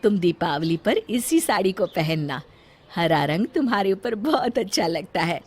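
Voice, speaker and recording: A woman voicing a gentle middle-aged mother-in-law in a family story, soothing and motherly as she keeps the peace. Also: medium pitch, sing-song, unhurried, husky. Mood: kindly.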